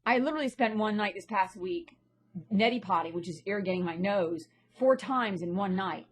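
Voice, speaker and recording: A woman of middling pitch with a husky, breathy voice that sounds slightly stuffy. She talks straight to her audience in a blunt, indignant vlog rant. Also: moderate pace. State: stuffy from a sinus infection. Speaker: vlogger and actress. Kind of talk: ranting personal vlog monologue.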